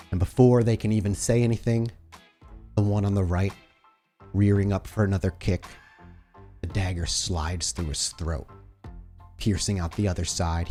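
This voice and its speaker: A man narrating a tabletop horror game as storyteller, with dramatic, deliberate scene-setting. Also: low-pitched, a little mellow.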